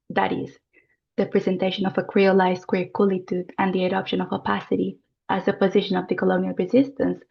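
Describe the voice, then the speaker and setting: A woman presenting an academic paper in a formal, measured reading style. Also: medium pitch, vocal fry, muffled recording. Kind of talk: reading a prepared conference paper.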